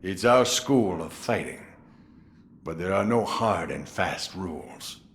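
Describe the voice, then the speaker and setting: A deep-voiced, crafty old sword master. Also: male voice, animated. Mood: instructive, hearty. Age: older. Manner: theatrical, amused, drawn-out musing delivery. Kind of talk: dramatic video game voice acting.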